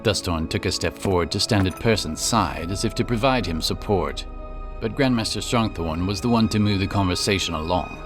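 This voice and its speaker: Low-voiced male narrator over loud music. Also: fairly quick. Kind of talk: scripted fantasy audiobook narration. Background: prominent background music.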